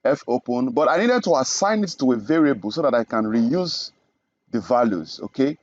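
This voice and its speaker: A man's voice: mid-range voice, animated, normal speed, no room sound, lo-fi sound. A young man from Nigeria teaching programming in a screen-recorded tutorial, explaining each step and checking in with "okay?". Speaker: programming instructor.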